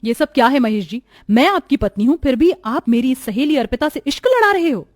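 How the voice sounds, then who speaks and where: Woman acting high-pitched, jealous, fiery cartoon wife. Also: expressive, a little fast, a little mellow, no room sound, studio quality. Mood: furious, accusing. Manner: emphatic, melodramatic. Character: jealous, suspicious young wife, fiery and accusing. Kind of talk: cartoon family melodrama dubbing.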